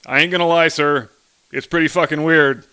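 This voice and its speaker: A man plays a male presidential aide in a comedy bit, deferential and patient. He explains things drily in a bright, fairly high, forceful voice. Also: fairly even intonation, normal speed, clean tone. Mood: matter-of-fact.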